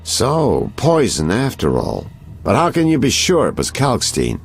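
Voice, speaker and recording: A man's voice: fairly low pitch, huge pitch swings, measured pace, creaky, faint music behind, heavily acted. A man playing a seasoned, self-assured detective in a video game, talking with condescending confidence. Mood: probing.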